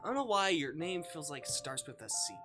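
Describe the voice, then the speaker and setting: A man's voice: on the high side, big pitch swings, brisk, music in the background. A man livestreaming a visual novel with casual, playful, irreverent commentary and a giggly way of talking. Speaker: streamer.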